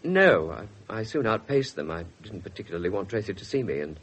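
A jittery, defensive man under questioning in a seventies radio play. His voice is husky and swings about in pitch as he hesitates. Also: sing-song, fairly quick. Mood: nervous, evasive. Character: nervous, evasive man questioned by police. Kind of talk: 1970s radio drama acting.

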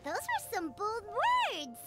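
A woman voicing a tiny, chirpy fairy girl companion with a squeaky, childlike delivery in a video game. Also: wildly swooping pitch, takes it slowly, pushed and bright, exaggerated voice. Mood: amazed, skeptical.

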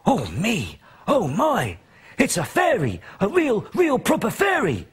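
A man voicing a wide-eyed, excitable little boy in a children's story, full of breathless wonder. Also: high-pitched, takes it slowly, slightly rough, voice pushed up, exaggerated voice. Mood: excited wonder.

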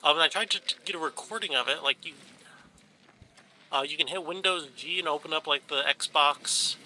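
A man's voice: fairly high pitch, moderate pace, bright, forceful tone, slight background noise, poor audio. A man with a stuffed, runny nose giving casual commentary over his game footage, rambling along with many ums and restarts. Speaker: gaming YouTuber. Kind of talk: casual let's play commentary.

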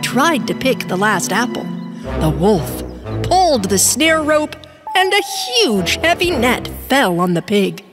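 A woman narrating a children's bedtime story, expressive and storytelling in tone. Her voice sits a bit high and moves in a lively way, over clear music. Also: slow. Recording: music bed behind.

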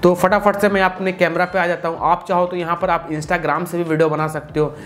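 A man's voice: sits high, little pitch movement, a little fast, fairly bright tone. A man, giving a chatty, informal step-by-step tutorial with English tech words mixed in. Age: 20s. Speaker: video tutorial host. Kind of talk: casual step-by-step tutorial to camera. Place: small indoor room with computer setup. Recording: clearly noisy room recording.

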